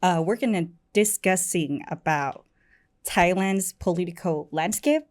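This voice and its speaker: A woman's voice: fairly low pitch, unhurried. A woman, hosting a casual talk show interview in an informal, giggly manner. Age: 20s. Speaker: host.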